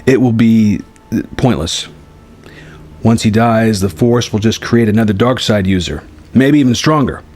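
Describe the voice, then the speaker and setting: Man playing a measured, weary Jedi mentor over music. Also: on the low side, a little mellow. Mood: calm, foreboding. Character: weary, resolute male Jedi master, cryptic mentor. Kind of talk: acted dialogue within story narration. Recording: music behind the voice.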